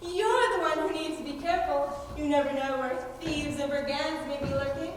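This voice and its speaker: Far from the mic in a reverberant hall, a cocky outlaw queen played by a teenage girl holds forth in a high voice. She is brash, mocking and theatrical, a stage-play leader who loves to tease. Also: moderate pace, natural voice. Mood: breezy, assured. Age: teens. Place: large indoor school performance space. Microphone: distant camera or room microphone. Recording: echoey, noisy hall, recorded from far off.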